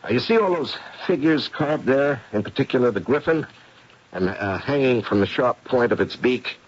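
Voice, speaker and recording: An actor playing a confident, gray-haired paranormal investigator in an old-time radio mystery, explaining in a deliberate, theatrical way. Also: male voice, mid-range voice, lively intonation, husky. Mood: probing. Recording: muffled, noisy radio drama recording.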